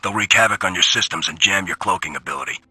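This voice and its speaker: An actor playing a grumbling, sardonic robot soldier in a video game log, with a gruff, complaining way of talking. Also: male voice, low-pitched, quick pace, pushed and bright, no echo, heavily acted. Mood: wry reporting. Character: grumbling, sardonic male robot soldier giving war reports.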